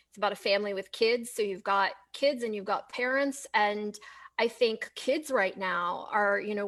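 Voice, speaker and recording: Female interview host, medium pitch, conversational and informal. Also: fairly bright tone. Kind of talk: casual interview.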